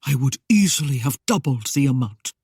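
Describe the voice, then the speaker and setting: A man voicing a cold, aloof nobleman who speaks in a curt, measured way. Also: medium pitch, lively intonation, a little mellow, husky, no room sound, clean studio sound. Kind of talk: audiobook character voice.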